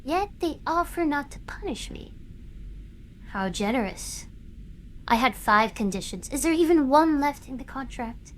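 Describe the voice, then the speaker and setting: A woman's voice: sits high, expressive, measured pace, a little hiss or hum. A woman playing a proud, vengeful young female internet star in a dramatic audio drama, speaking in an imperious, bitter, theatrical way. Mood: bitter, sarcastic. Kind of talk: dramatic acted monologue, fan audio drama.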